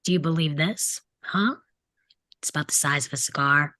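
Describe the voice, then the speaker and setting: A woman's voice: low voice, normal speed, pushed and bright, no room sound. Woman playing a bitter, mouthy teenage delinquent boy, dry sound. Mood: hurt, defiant. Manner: sarcastic, aggressive, mimics parents in mock voices. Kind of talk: table read of a film script.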